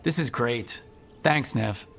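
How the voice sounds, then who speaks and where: An actor playing an earnest, direct resistance hero in a fantasy video game. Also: male voice, medium pitch, takes it slowly, gravelly, a bit bright, soft background music, heavily acted. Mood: earnest, resolute.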